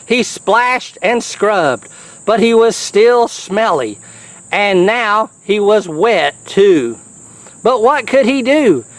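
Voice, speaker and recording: A person reading a children's picture book aloud in an animated, chatty way, with playful asides to the listener. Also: medium pitch, slow, no room sound. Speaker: storybook reader.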